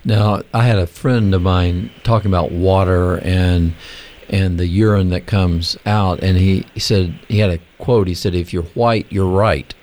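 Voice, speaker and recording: A man with a very low voice hosts a radio talk program. He chats in an easygoing, affirming way, with faint noise in the background. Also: a little slow. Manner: relaxed, conversational, affirming. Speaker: host. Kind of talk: radio interview.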